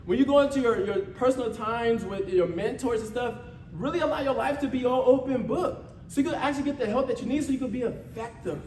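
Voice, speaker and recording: Male American preacher, notably high voice, conversational and exhortative, seeks agreement. Distant mic in a reverberant room. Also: fast talker, darkish tone. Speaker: church ministry leader preaching a sermon. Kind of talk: informal motivational sermon with call-and-response. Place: church meeting room with responding congregation. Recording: echoey room from far off, faint noise.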